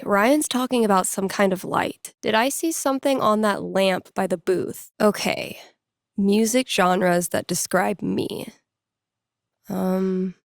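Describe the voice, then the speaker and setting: A woman's voice: mid-range voice, dry recording, natural voice. An actress playing a wry, playful young woman DJ in a video game, musing to herself in a dry, conversational way. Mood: puzzling it out. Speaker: video game character, radio DJ and record store worker. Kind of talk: video game voice lines, casual inner monologue.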